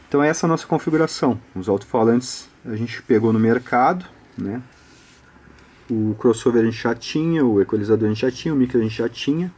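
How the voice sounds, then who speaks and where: Husky Brazilian man explaining things. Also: mid-range voice, darkish tone. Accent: Brazilian Portuguese. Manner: conversational, explanatory, frequent tag questions. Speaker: audio electronics instructor, channel host. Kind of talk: informal step-by-step technical tutorial.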